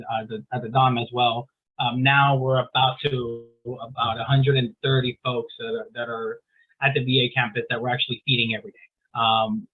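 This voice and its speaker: Man on a tinny video call, flat delivery. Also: medium pitch. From San Diego, California. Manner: halting, many ums and restarts. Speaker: veterans legal services coordinator. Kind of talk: online community meeting update. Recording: tinny phone-like call audio.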